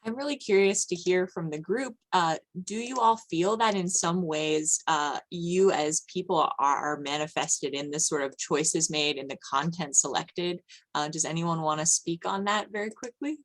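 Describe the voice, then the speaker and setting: Low-pitched woman moderating a discussion. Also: normal speed. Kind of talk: conversational post-show discussion hosting.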